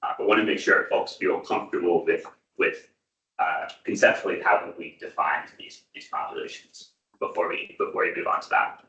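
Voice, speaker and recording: A man with a loud, projecting voice who facilitates a workshop meeting, recorded from across a dull, echoey room. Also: deep voice, normal speed, far from the mic.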